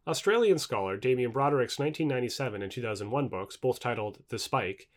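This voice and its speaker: A man reading scripted nonfiction aloud as an audiobook narrator, in a measured, articulate way with emphatic phrasing. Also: medium pitch.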